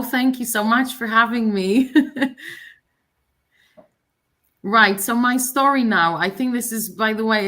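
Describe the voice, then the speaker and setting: A woman from Poland, a native Polish speaker, telling her story as a panelist on a video call in a warm, animated way. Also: mid-range voice.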